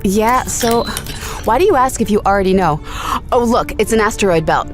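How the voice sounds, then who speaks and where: An actress playing an ambitious, excitable young female space explorer in a sci-fi audio drama, eager and wry. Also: medium pitch, moderate pace, soft background music, lightly acted. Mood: flustered.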